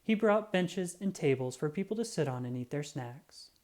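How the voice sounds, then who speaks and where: Low, mellow woman reading a storybook expressively. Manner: expressive, drawn-out emphasis on sound words. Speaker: children's book reader. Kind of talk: animated read-aloud storytelling.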